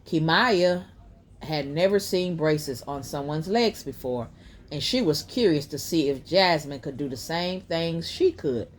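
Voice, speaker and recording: A woman reading a children's picture book aloud as a bedtime story, in a deliberate storytelling manner. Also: low-pitched, lively intonation.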